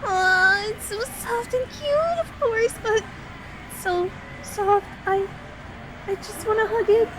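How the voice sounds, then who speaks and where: Clear park ambience surrounds a high, slow-paced female voice. A woman acts out a sweet, shy young woman in an ASMR roleplay, speaking to her best friend with expressive, hesitant affection. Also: noisy background. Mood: excited, cute. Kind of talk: ASMR roleplay audio drama.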